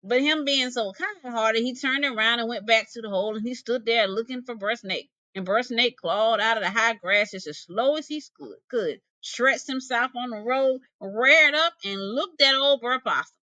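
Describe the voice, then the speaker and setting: A woman's voice: a little high, normal speed, hardly any pauses, sharp tone, no room sound. A woman narrating a folktale expressively, with folksy dialect phrasing and dramatic delivery. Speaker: storyteller, English teacher.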